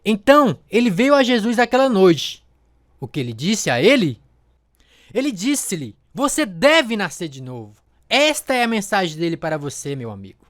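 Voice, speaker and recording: A man's voice: sits high, very animated, moderate pace, fairly bright tone, no room sound, studio quality. A man acting a fervent evangelist in a radio drama, declaiming scripture emphatically and urging his listener. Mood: fervent, urgent. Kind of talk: dramatized sermon.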